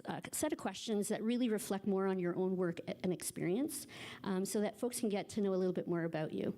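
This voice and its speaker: A woman hosts a panel on a conference stage, reading biographies from her notes in a measured, formal way. Her voice sits at an ordinary middle pitch, and she now and then stumbles over a name before carrying on. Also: warm tone. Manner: formal, reads from notes, trips over names. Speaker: panel moderator. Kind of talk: reading speaker bios and moderating a panel.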